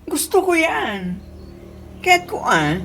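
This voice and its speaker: A woman's voice: high voice, sing-song, slow pace, noisy background, full character voice. A woman playing an eager, gushing female friend in a radio comedy, with an Ilocano accent. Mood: excited. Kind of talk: comedy radio drama acting.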